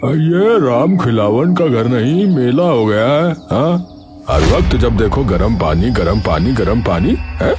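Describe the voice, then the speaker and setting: A man's voice: mid-range voice, very animated, unhurried. A man voicing a blustering, self-important villager in a Hindi cartoon story, grumbling in a theatrical way. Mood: grumbling, indignant. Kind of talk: animated Hindi cartoon dialogue. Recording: music behind the voice.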